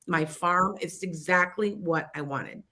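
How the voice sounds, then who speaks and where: A middle-aged woman with a Chicago accent and a bright, forward voice hosts a psychic show on internet radio. She talks in a loose, rambling way, restarting her sentences and drifting into asides as she gives casual life advice. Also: on the low side, a little slow. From Wisconsin near the Illinois border.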